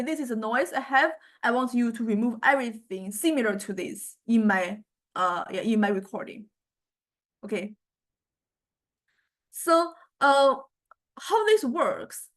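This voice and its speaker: A woman lecturer giving an informal software demonstration, explaining haltingly and often checking in with listeners. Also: on the high side, lively intonation. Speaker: university lecturer.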